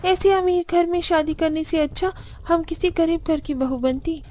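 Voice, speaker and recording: A woman's voice: very high voice, normal speed, mellow, pitched above the performer's own voice. A woman voicing a plaintive, dutiful young Indian daughter-in-law in a cartoon family story. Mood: aggrieved. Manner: complaining, pleading. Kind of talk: Hindi cartoon story acting.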